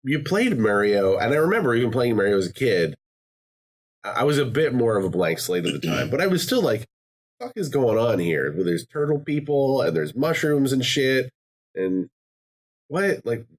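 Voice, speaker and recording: A man's voice: medium pitch, normal speed. A man hosting a podcast in casual chat, swearing freely and often slipping into quoted voices. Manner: animated, profane, jumps into quoted voices. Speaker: podcast host.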